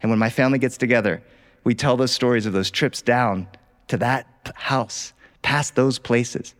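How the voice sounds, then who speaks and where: A man's voice: mid-range voice, steady tone, normal speed. A man telling a personal story live, as a practiced storyteller does. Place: studio, live performance.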